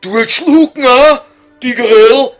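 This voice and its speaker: A man's voice: very high-pitched, takes it slowly, faint music behind. A man voicing a grumpy, tormented old scholar, whining and exasperated, with a Viennese accent in a comic radio sketch. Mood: impatient, angry.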